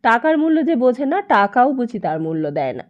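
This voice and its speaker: A woman narrating a literary story as an audiobook, in an expressive, emphatic, dramatic way. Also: a little high, a little fast, highs cut off.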